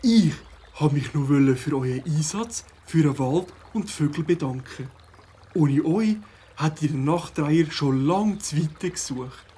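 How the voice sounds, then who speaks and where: A friendly, earnest forest character in a children's audio play, voiced by a man. The voice is husky and soft-edged, sitting fairly high, with halting phrases. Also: lively intonation. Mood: grateful.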